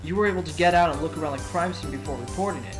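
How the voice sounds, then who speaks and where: A man's voice: on the high side, rapid-fire, lightly acted. A man playing an earnest, determined young defense lawyer in an amateur courtroom audio drama, with music behind him. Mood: forceful, accusing.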